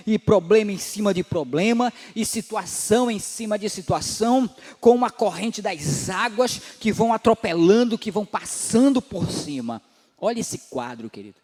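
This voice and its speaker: A man's voice: high-pitched, a little mellow. Male pastor in his forties, echoing hall. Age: 40s. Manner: preaching with swelling emphatic rises and drops. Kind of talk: sermon. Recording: long hall reverb.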